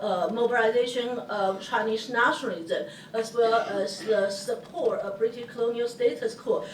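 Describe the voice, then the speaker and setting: A woman's voice: fairly high pitch, a little hiss or hum. A woman from Taiwan with a Taiwanese accent, a native Hokkien speaker, presenting at an academic panel with many fillers and restarts. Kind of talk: academic conference talk. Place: lecture hall. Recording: echoey room, distant mic, faint ambience.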